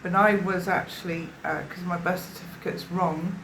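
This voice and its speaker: A woman in her fifties from South Africa tells her story in a hesitant, rambling way, stopping and restarting often. Her voice sits fairly low. The recording is roomy and echoey, with a faint hiss behind it. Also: steady tone, moderate pace, fairly bright tone. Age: 50s. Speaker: interviewee giving a witness statement. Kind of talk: personal testimony in conversation. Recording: roomy echo.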